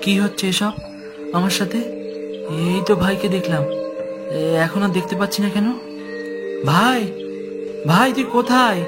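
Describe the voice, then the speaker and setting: A man's voice: high-pitched, normal speed, long pauses, loud music behind the voice, lightly acted. A man voicing a protective young older brother in a horror cartoon. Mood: panicked. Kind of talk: Bengali horror cartoon dub.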